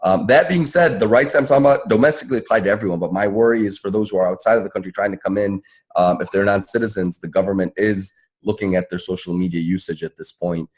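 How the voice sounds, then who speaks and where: Fast-talking man with a low husky voice. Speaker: panelist, civil rights attorney and activist. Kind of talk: spontaneous panel talk, advocacy.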